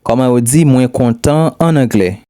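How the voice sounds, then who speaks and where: Male teacher, mid pitch, mellow tone, steady prompt reading, dry close sound. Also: normal speed, no room sound. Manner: steady, repeats one question pattern. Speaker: language teacher.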